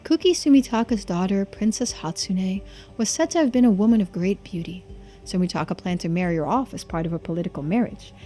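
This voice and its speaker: A woman's voice: medium pitch, fairly quick, warm tone, soft background music. Woman streamer reading game text aloud.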